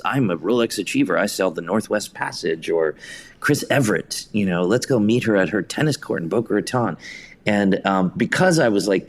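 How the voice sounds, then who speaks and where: Deep voiced guy on a theatre interview, chatting thru stories, quotes people as he goes. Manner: anecdotal, hesitant phrasing, acts out quotes. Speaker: interview guest, theatre director and writer. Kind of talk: conversational storytelling interview.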